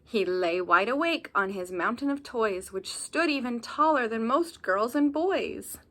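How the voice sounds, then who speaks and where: A woman with a high voice reads a children's story aloud at a slow, deliberate pace. She sounds like a teacher with a class, expressive and animated, speaking directly to her young listeners. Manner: animated, addresses young listeners with asides and questions. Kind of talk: expressive children's picture-book read-aloud.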